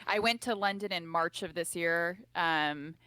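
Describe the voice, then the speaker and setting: A woman's voice: fairly low pitch, unhurried, smooth, dry recording. A young woman from California, a podcast guest, chatting casually and acting out the lines she quotes. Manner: animated storyteller, acts out quoted lines. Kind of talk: casual chat.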